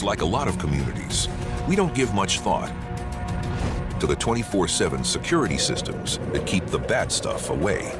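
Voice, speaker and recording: A man reading scripted documentary narration in a dramatic, punchy way, as the narrator. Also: low-pitched. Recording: loud music bed behind voice. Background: loud music and effects.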